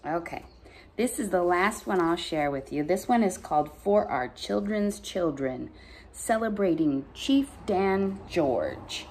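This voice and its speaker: A woman's voice: fairly low pitch, lively intonation, unhurried, darkish tone, a little hiss or hum. A middle-aged woman, a pastor hosting story time, reading poems aloud expressively to children with casual asides. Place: bright dining room at home.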